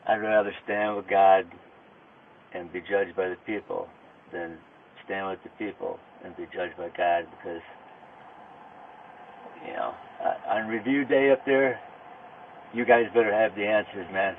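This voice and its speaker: A man under arrest talking casually with police in a halting way, often repeating his phrases. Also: fairly low pitch, fairly even intonation. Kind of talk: casual conversation during an arrest. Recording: noisy, tinny police body-camera or vehicle audio.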